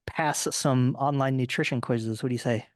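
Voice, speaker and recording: A man's voice: medium pitch, fairly even intonation, moderate pace, no room sound, clean studio sound. A man hosting a casual nutrition podcast as a coach, upbeat and explaining with many fillers.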